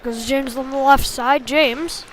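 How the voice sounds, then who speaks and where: A woman calling a youth hockey game live in short, clipped phrases, getting excitable on big plays. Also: high-pitched, slow, slight background noise, rough recording. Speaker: play-by-play hockey commentator. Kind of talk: live sports commentary.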